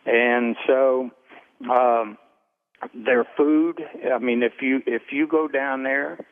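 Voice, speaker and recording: A man's voice: mid-range voice, steady tone. American man on a tinny phone line. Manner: halting, hesitant, searching for words. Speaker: caller to a radio show. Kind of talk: unscripted phone-in opinion. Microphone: telephone.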